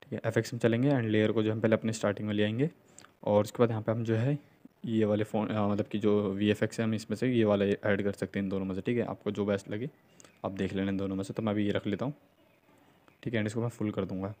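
A man's voice: lowish voice, level tone, fast, dark tone. A young man narrating a casual step-by-step tutorial, often dropping in check-in tags and filler phrases. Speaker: video editing tutorial host. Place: voice-over on a screen recording.

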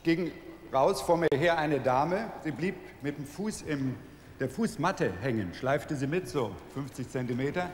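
A man's voice: a little high, lively intonation, a little slow, slight background noise. A middle-aged man from Berlin, a guest on a TV show, telling an anecdote in a dry way on a big studio stage. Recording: echoey studio hall.

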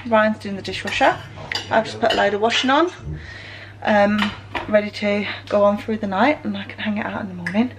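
A woman's voice: mid-range voice, fairly quick. English woman chatting, faint background noise. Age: 30s. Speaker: family vlogger, mother. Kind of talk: casual vlog chat to camera. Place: rooms in a family home. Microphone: camera at arm's length or nearby.

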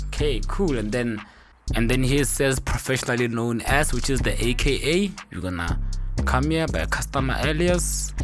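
Low-voiced man giving a casual tutorial. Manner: informal, upbeat interjections like boom and cool. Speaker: tutorial host. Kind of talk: casual screen-recorded software tutorial. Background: music.

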